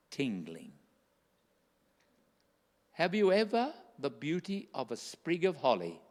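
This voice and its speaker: A kindly, earnest old-time Christmas gift-giver , played by a man declaims radio play verse with emphasis. The delivery is slow and swings widely in pitch. It resounds in an echoey hall. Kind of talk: theatrical rhymed radio play oratory. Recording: echoey hall with long reverb.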